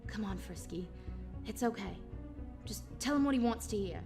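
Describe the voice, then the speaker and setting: Comic dub role: defiant young woman, wary and indignant inner voice, played by an actress, mid pitch, light music underneath. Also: expressive, normal speed, close to an everyday voice. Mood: soothing, coaxing.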